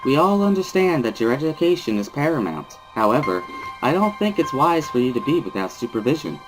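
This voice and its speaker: A man voicing a calm, reassuring hospital doctor in a measured, formal, placating manner for a dramatic reading. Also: medium pitch, over music, voice pushed up, lightly acted, low-quality recording.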